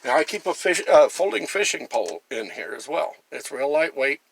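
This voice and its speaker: A man's voice: fairly high pitch, very animated, normal speed, gravelly, breathy edge. A man talking casually through a product demonstration in a plain-spoken, folksy and chatty way. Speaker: gear reviewer and camper.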